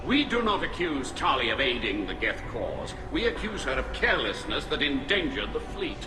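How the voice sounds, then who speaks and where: A man's voice: mid-range voice, sing-song, moderate pace, close to an everyday voice. A man playing a stern, accusing alien admiral in a video game. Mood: cold, accusing. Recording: roomy echo, game music underneath.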